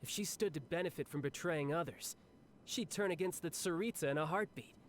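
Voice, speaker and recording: A cocky young fighting man from a video game, played by a male actor, with a fairly high voice. He talks breezily and confidently, as if chatting, and relishes a fight. There is clear noise behind him. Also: fairly quick, lightly acted. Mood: frank, resolute. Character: cocky, battle-hungry, cheerful young male warrior officer. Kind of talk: scripted video game character monologue.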